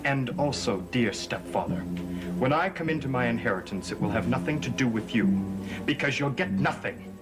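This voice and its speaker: A man's voice: mid-range voice, expressive, moderate pace, close to an everyday voice. An actor in a gothic soap opera playing a scornful young man, sarcastic and defiant toward his stepfather. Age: young adult. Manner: sneering, sarcastic. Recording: loud dramatic music under the dialogue.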